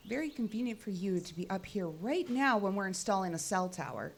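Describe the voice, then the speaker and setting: Dry, no-nonsense tower technician. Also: female voice, medium pitch, very animated, a little fast, creaky, a little hiss or hum. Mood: suspicious. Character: no-nonsense, skeptical female cell tower technician. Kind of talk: live audio drama acting.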